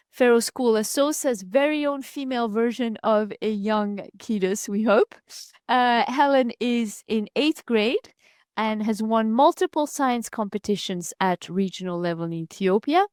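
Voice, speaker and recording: A woman hosting an interview, introducing guests in a measured, formal way with some filler ums. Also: medium pitch, no room sound, clean studio sound. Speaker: host.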